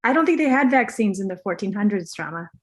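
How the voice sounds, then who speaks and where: A woman's voice: mid-range voice, animated, fast talker, breathy edge. A woman playing a vain, laid-back young male movie star, hesitant and defensive, in a comedy table read. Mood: casual.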